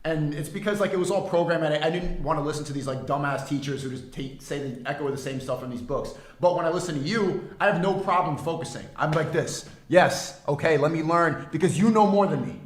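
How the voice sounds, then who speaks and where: A man's voice: a little high, fast, hardly any pauses. A man hosting a livestream, talking in a playful way and egging on his guest. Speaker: livestream host. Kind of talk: casual livestream chat. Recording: echoey room.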